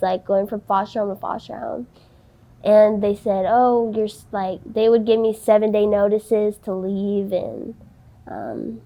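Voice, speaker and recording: A woman of nineteen in a candid interview, speaking softly and haltingly with many ums and likes. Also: mid-range voice. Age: young adult. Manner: hesitant, many ums and likes, soft-spoken. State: tearful, voice breaking.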